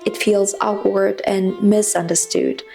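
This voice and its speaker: Casting: woman, 20s, non-native English speaker, confessional scripted narration, medium pitch with some gravelly fry, faint music underneath. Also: little pitch movement, unhurried, warm tone. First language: not English. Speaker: personal vlogger. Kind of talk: reflective scripted personal narration.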